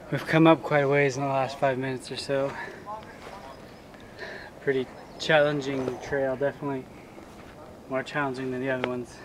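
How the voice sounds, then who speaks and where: Brief: young male hiker with wry humor, average pitch, noisy camera sound. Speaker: co-host. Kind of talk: casual hiking vlog chat. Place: outdoors on a steep mountain trail. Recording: noisy outdoor camera audio.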